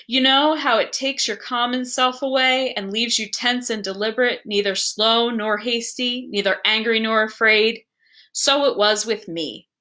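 Female story narrator: higher-pitched, bright, expressive, deliberate literary reading. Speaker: librarian reading a story aloud.

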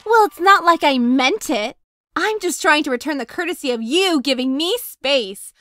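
A woman voicing a quick-tempered teenage girl who swings between flustered and sarcastic, in a comic dub. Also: sits high, sing-song, no echo, faint music behind, full character voice. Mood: scolding. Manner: flustered, then sharp and sarcastic. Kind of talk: fan comic dub acting.

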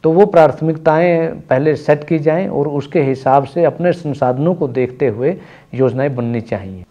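A man's voice: mid-range voice, dark tone. A middle-aged man, an institute official, giving a prepared address in a formal, didactic manner. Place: office, indoors.

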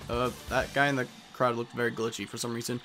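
A man's voice: mid-range voice, level tone, fast talker, pushed and bright, faint music behind. A man giving casual let's play commentary on a video game, reading dialogue aloud as he goes.

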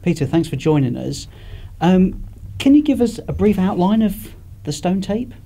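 A man's voice: high voice, sing-song, moderate pace, warm tone. A man hosting a video blog interview, asking brief, friendly questions. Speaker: host.

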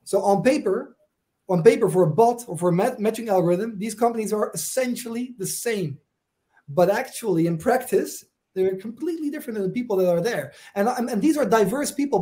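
A man's voice: sits high, dark tone. A man in his thirties with a Dutch accent, speaking as a guest in a video-call panel discussion and repeating words as he starts his points. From Netherlands. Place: home room, video call.

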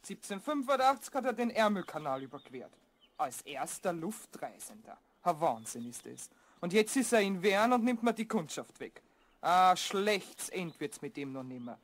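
An actress playing a blunt, grumbling, folksy chestnut seller with a Viennese accent in a radio play. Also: female voice, on the low side, very animated, normal speed. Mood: scornful.

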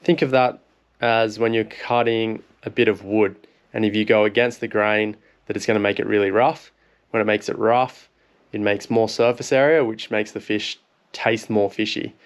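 Easygoing Australian man, smooth low voice. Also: normal speed. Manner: relaxed, plain explaining. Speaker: fishing vlogger. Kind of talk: instructional cooking voice-over.

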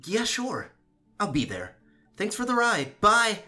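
A woman's voice: medium pitch, sing-song, slow, over loud music. A woman voicing a sweet, dorky teenage boy in a comic dub, teasing and earnest. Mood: playful. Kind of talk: animated-style comic dub acting.

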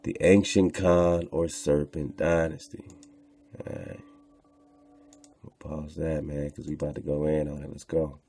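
Very deep-voiced man, gravelly, rambling casually. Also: flat delivery, a little slow, dark tone, a little hiss or hum. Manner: rambling, repeats phrases, slangy "man" and "you know". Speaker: host of an online show. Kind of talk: casual unscripted commentary while browsing on screen.

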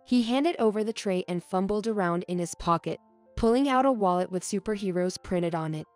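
A woman narrating a heartfelt story in a calm, even way. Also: mid-range voice, brisk, smooth, soft background music.

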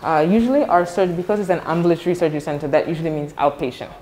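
A young woman with an American accent explains how a clinic works in a friendly, clear way while walking through a corridor. Her voice sits very low for a woman. Faint background noise fills the space. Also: racing, warm tone. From Ghana, raised in the United States. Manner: friendly, explanatory, laughs easily. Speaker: director of ambulatory services.

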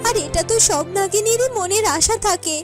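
High, tender serpent heroine, a woman acting. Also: normal speed, music in the background. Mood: sad, resolute. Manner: tender, earnest, repeats phrases for emphasis. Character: kind young shape-shifting serpent woman, earnest heroine. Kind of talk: fairy-tale cartoon voice acting.

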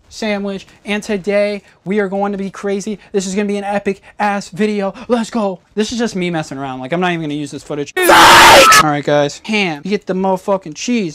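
A young adult man chatting in casual slang as an excitable, jokey prankster vlogger. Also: high voice, a little fast, very noisy. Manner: excitable, jokey, often yelling. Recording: loud music over camera audio.

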